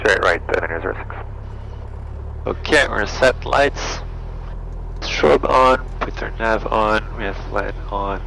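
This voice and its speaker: A man's voice: medium pitch, gravelly. A man piloting a small plane, talking through procedural briefings and checklists in clipped phrases, thinking aloud. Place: small airplane cockpit. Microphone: aviation headset. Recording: engine and cockpit noise behind voice.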